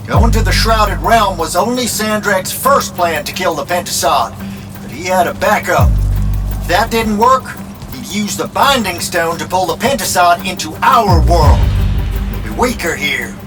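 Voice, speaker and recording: A man's voice: high voice, animated, measured pace, music in the background. A man playing an anxious, folksy ally in a video game, delivering urgent news in an informal, colloquial way. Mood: urgent, worried. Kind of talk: video game cinematic acting.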